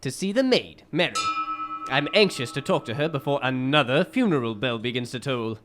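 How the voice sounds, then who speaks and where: A man playing a shrewd, thoughtful detective in a radio mystery drama, speaking in a polite, formal, deliberate way. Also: mid-range voice, animated, music in the background, lightly acted. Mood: grave.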